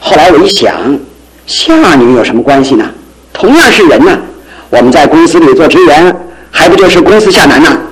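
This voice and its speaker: A man acting in a Chinese radio drama as an excitable, lovestruck, boastful office clerk, with an animated, exclamatory delivery. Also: a little high, a little fast, poor audio. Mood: bashful, chatty.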